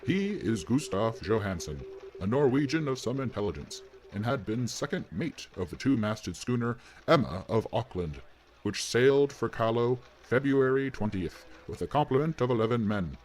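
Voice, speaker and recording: A man reads a newspaper article aloud in a crisp, report-like manner. His pitch moves in a lively way, and faint noise sits behind the voice. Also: normal speed, low-quality recording. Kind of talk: scripted reading of a news report.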